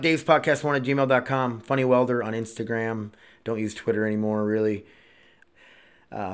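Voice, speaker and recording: A man's voice: medium pitch, fairly bright tone, clean tone. Rambling, cursing man hosting a podcast. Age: 30s. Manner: rambling, profane, self-interrupting. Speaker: podcast host. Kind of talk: casual solo podcast.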